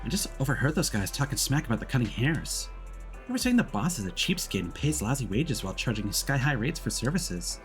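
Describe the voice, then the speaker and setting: A man's voice: mid-range voice, sing-song, fairly quick, pitched above the performer's own voice, natural voice. Guy doing an indignant greedy game boss lady, all defensive and justifying herself, music underneath. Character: indignant, defensive, money-minded young woman boss in game. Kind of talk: reading game dialogue aloud.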